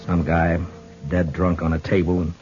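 Actor as a deep-voiced, stern frontier marshal. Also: male voice, level tone, lightly acted. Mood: somber, reflective. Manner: terse, firm, deliberate. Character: stern, stubborn Old West lawman, world-weary narrator. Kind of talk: 1950s radio Western acting and narration. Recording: dull vintage radio recording, music behind.

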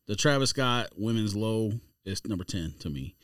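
A man's voice: lowish voice, lively intonation, moderate pace, dry recording, studio quality. A man chatting casually as a podcast panelist while running through a ranked list in an offhand, teasing way.

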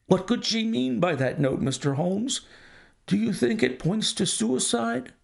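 A man's voice: high-pitched, very animated, unhurried, vocal fry, voice pushed up, full character voice. A man voicing a distraught older male banker who pleads and exclaims anxiously.

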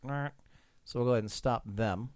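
A man's voice: mid-range voice, moderate pace, a crowd in the background. A man hosting a podcast, teasing his co-hosts in casual banter. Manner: wisecracking, teasing. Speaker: podcast host.

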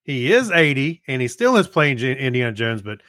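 A man's voice: medium pitch, sing-song, a little fast, a bit bright, no echo. Casual man chatting, dry close sound. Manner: conversational, joking. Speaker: podcast co-host. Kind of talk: casual chat.

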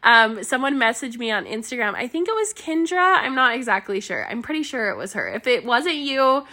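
A woman's voice: on the high side, big pitch swings, brisk, sharp tone. A woman hosting a solo podcast, chatting in a bubbly, rambling way with a stuffy nose. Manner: bubbly, chatty, lots of filler words and laughs. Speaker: podcast host and mindset coach.

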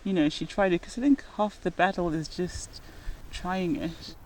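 A woman's voice: lowish voice, normal speed, slight background noise, rough recording. Zimbabwean woman, reflective podcast guest. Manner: earnest and reflective, breaks into laughter while telling stories. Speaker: guest, nurse and rock climber. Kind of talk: podcast interview conversation.

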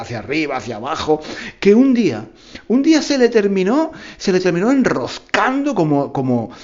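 A man's voice: fairly high pitch, darkish tone, muffled recording. A man hosting a podcast for language learners, telling a tall tale playfully and repeating phrases for emphasis. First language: Spanish. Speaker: podcast host. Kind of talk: storytelling to learners.

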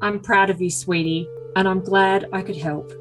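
A woman's voice: medium pitch, steady tone, normal speed, soft background music, close to an everyday voice, poor audio. A woman voicing a doting, controlling, self-important boyfriend in an overly dramatic reading of messages.

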